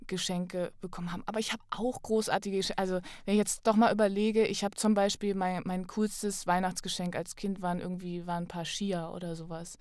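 A woman's voice: fairly low pitch, flat delivery, fairly quick, few pauses, a little mellow, dry recording, studio quality. A young woman from Thuringia in Germany, talking casually and chattily as a podcast guest. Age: young adult. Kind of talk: casual conversational interview.

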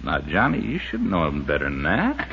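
An actor playing a folksy, practical, easygoing fishing buddy in a 1950s radio drama. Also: male voice, extremely low pitch, wildly swooping pitch, moderate pace, gravelly, exaggerated voice. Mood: matter-of-fact, wary. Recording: dull, very noisy 1950s radio recording.

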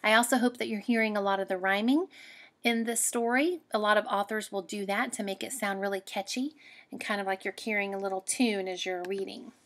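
A woman, a teacher giving an expressive, explanatory read-aloud lesson for children. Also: medium pitch, brisk.

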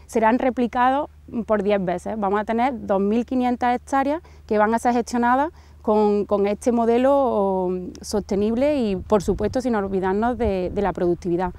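A woman's voice: mid-range voice, moderate pace, dark tone, smooth, dry recording. A young woman with a Spain accent, explaining her work as an agricultural researcher in an interview. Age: young adult.

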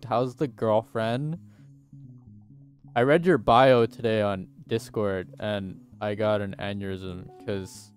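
A man in his twenties chatting casually on a game livestream through a headset mic, rambling and laughing at himself. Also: medium pitch. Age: 20s. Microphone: headset mic at desk.

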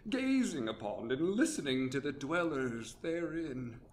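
A man's voice: medium pitch, big pitch swings, measured pace, reverberant, a little hiss or hum. An actor playing a formal, pompous aristocratic father who speaks in archaic phrasing. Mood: musing. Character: pompous old baron father, grandly fond. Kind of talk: amateur radio drama acting.

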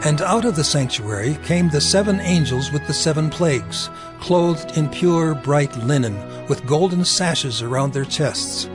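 Casting: male scripture narrator, solemn, somewhat high-pitched, faint music bed. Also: animated, a little slow, few pauses.

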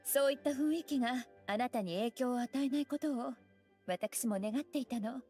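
A woman voicing a graceful, teasing young scholar mage in an anime game, with elegant, playful, unhurried phrasing. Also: a little high, warm tone, slightly rough. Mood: gentle, sincere. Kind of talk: Japanese anime game voice acting. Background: music.

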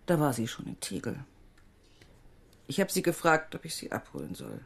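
An actress playing a weary, evasive middle-aged aid organisation manager in a German radio crime drama, husky and world-weary. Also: female voice, low-pitched, huge pitch swings, normal speed, full character voice. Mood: regretful.

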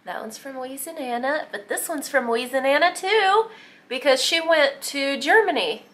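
A woman's voice: high voice, animated, moderate pace, pushed and bright. A woman vlogging at home, chatting casually while she holds up greeting cards and reads them aloud into a handheld camera. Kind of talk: casual vlog narration.

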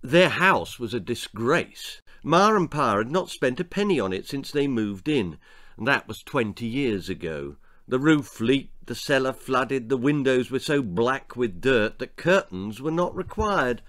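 A man in his sixties reading a children's story aloud in a theatrical, deliberate way. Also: medium pitch, very animated, fairly bright tone. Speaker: author reading his own stories. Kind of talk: dramatic children's story narration. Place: small book-lined room indoors.

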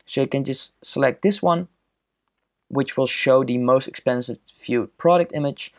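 A man with a gravelly, fry-tinged voice narrates a screen-recorded software tutorial. He explains each click in a steady, step-by-step way, at an ordinary middle pitch. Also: moderate pace.

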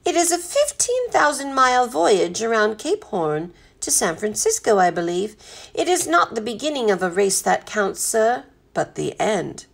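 Unflappable gentleman butler female narrator, formal and proud, slight creak in a mid-pitched voice. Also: normal speed. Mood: calm, measured. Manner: formal, precise, perfectly calm. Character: unflappable, proud, courteous male English-style butler.

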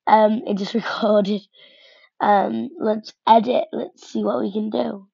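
Woman chatting over a game, slow and unsure, lots of ums, dry dull sound. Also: mid-range voice, little pitch movement, gravelly, mellow, slightly rough, dry recording. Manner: thinks aloud, hesitant, many fillers. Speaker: gaming vlogger. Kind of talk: casual game commentary. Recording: dull lo-fi home mic.

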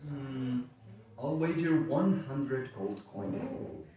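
A measured, patient nobleman read by a man in an amateur stage drama. His voice has a middling pitch and comes from far off in a very echoey hall. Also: lively intonation, narrow, tinny audio, crowd noise. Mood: cordial. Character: calm, measured noble elder relative, explaining patiently. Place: wood-panelled hall, indoors.

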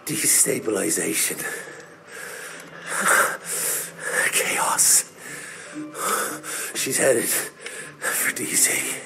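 A man voicing a jittery, talkative, foul-mouthed vampire informant in a game cutscene, halting and agitated, with a creaky voice. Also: on the low side, noisy background, full character voice. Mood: secretive. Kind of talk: video game cutscene acting.

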